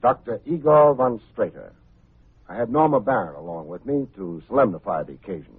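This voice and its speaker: An actor playing a wry, self-assured hard-boiled private detective in a vintage radio drama, full of sardonic slang. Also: male voice, fairly low pitch, expressive, brisk, breathy edge. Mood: dry narration. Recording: muffled, tinny vintage radio recording.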